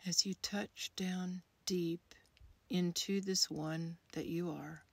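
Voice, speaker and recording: Female meditation guide: lowish pitch, soft husky breath, soothing delivery that leaves space between phrases. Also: steady tone, no room sound. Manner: soothing, unhurried, with long pauses between short phrases. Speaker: guided meditation leader, creativity coach. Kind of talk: guided meditation, gentle scripted narration.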